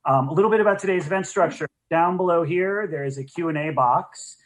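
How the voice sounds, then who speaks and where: A man's voice: fairly high pitch, a little fast, rough recording. Man hosting an online event, informally. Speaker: program director and event co-host. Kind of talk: informal event welcome and housekeeping. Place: video call.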